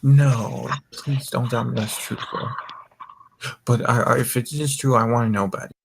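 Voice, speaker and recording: A man's voice: medium pitch, fairly even intonation, moderate pace, vocal fry, noisy background. A drunk young man hosting a casual group chat, rambling and swearing as he goes. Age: young adult. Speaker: voice call host.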